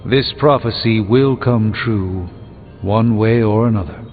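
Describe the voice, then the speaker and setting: An actor playing an erudite, pompous sorcerer with a dry, articulate wit in a video game. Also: male voice, on the low side, unhurried. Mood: measured, wry. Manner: pompous, dryly witty, articulate. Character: erudite, haughty, wry male sorcerer. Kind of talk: video game character dialogue. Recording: muffled phone-like game audio under music.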